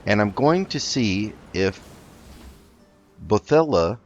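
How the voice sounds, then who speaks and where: Deep-voiced man narrating a game roleplay. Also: very animated, slow, highs cut off, faint music behind. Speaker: gaming video host. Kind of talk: roleplay let's play commentary.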